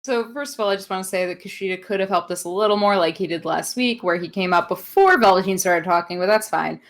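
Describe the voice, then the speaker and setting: A woman's voice: mid-range voice, quick pace. A woman co-hosting a wrestling podcast in casual, sarcastic chat, teasing her co-host and laughing often.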